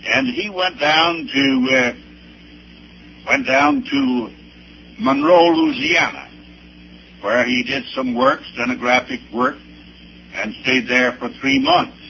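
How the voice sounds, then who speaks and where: Elderly male pastor, slow and emphatic, hugely animated pitch, recounting his life on a dull old recording. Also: gravelly, slight background noise. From Arkansas, United States. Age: 70s or older. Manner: deliberate, halting, with emphatic spelled-out dates and places. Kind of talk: personal testimony with anecdotes, preaching delivery.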